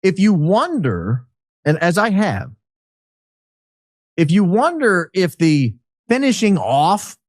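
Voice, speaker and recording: A man speaking as a podcast guest, giving speculative commentary in an emphatic, deliberate way. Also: high voice, sing-song, dry recording, clean studio sound. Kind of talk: speculative commentary in a talk show.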